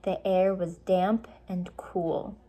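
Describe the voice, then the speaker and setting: A woman's voice: lowish voice, flat delivery, slow, warm tone. A woman reading a children's storybook aloud, in simple, explanatory style for young listeners.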